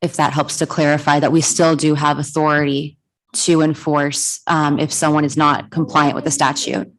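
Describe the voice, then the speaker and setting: A soft-spoken city attorney with a notably low voice walks a commission meeting through an ordinance. She is methodical and keeps clarifying her points step by step. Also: little pitch movement, moderate pace, low-quality recording. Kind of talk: explaining an ordinance at a public meeting.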